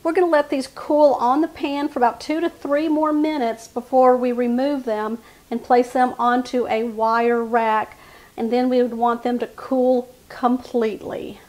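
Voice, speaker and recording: Woman, fifties, slightly high voice, friendly instructions, home kitchen. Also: level tone, unhurried, a little mellow. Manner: friendly step-by-step instructions to viewers. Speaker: cooking channel host. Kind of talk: casual cooking demonstration.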